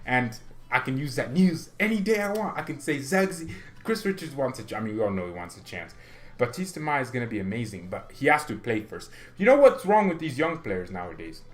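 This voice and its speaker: A man's voice: medium pitch, a bit bright. A young man hosting a fan channel, talking casually and opinionatedly, rambling with restarts and getting heated. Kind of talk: casual solo commentary.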